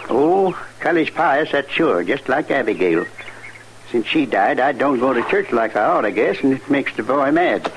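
A man's voice: mid-range voice, lively intonation, moderate pace, lightly acted. An actor playing a hospitable but stubborn older frontier father with a rural American accent in a 1950s radio western. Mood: troubled. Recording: faintly noisy 1950s radio recording.

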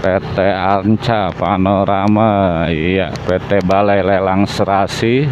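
Deep slow-talking man on a motorbike. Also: steady tone. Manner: unhurried, pointing out sights with frequent "ya". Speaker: moto vlogger. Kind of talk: casual riding commentary. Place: on a motorbike on city streets, outdoors. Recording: muffled with loud traffic noise. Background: traffic and engine noise.

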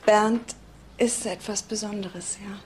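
A woman's voice: mid-range voice, steady tone, takes it slowly, a little mellow, slight background noise, natural voice. An actress playing a defensive, earnest woman being questioned, speaking emphatically in a German crime radio drama.

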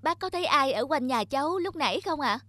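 A woman's voice: high-pitched, expressive, a little fast, bright, forceful tone, no echo. A woman voicing a polite, curious and caring young woman in a cartoon. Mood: polite, puzzled. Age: young adult. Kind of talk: cartoon voice acting.

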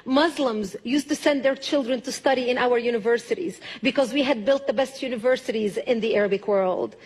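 A middle-aged woman with a Lebanese accent delivering an emphatic prepared speech with rhetorical repetition. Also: high voice. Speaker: public speaker. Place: large auditorium stage at a lectern.

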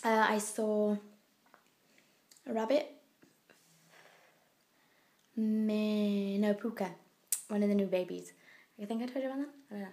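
Croaky young British woman vlogging. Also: mid-range voice, steady tone, a little slow, pauses often, a little mellow. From United Kingdom. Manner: rambling, chatty, many fillers and trailing asides. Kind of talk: casual daily video diary. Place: indoors, abroad in Italy. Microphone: handheld phone camera.

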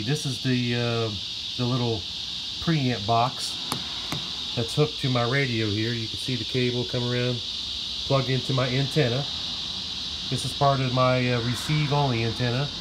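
A man casually showing his radio setup, talking with lots of "uh" pauses as he walks around his garage and yard. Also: mid-range voice. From Florida. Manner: relaxed, filler-heavy, explaining as he goes. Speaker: radio hobbyist demonstrating his gear. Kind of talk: casual show-and-tell. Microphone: handheld phone camera. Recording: noisy garage recording with radio hiss.

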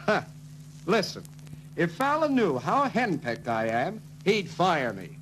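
A man's voice: on the high side, very animated, slow pace. An actor playing an emphatic, henpecked young car salesman in 1940s film style. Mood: exasperated. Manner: emphatic, exasperated. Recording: noisy 1940s film soundtrack.

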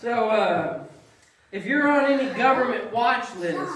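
A middle-aged man doing folksy stand-up storytelling, getting loud and emphatic at the punchlines. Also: sits high, very animated, measured pace. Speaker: stand-up comedian. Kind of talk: stand-up comedy at a congregation event. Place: small fellowship hall with audience. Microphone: far camera, no mic. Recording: echoey hall recorded from far off with audience laughter.

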